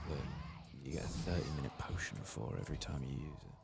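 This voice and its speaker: Male game streamer, ASMR style: extremely low, heavily creaky, soft-spoken and muttering, sometimes whispering. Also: expressive, fast, heavy background noise. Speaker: video game streamer doing a playthrough.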